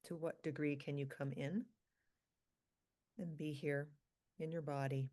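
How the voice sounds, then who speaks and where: A woman leading a guided meditation for a group, speaking calmly and slowly in short, spaced phrases. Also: deep voice. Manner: calm, slow, pausing between short phrases. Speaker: meditation leader, physician.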